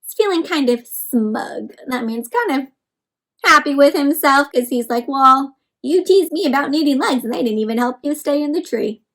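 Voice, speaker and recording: High-voiced woman reading aloud to children. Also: animated, moderate pace, fairly bright tone. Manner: addresses children directly, asking questions and explaining words. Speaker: read-aloud storyteller for children. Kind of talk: interactive picture book read-aloud.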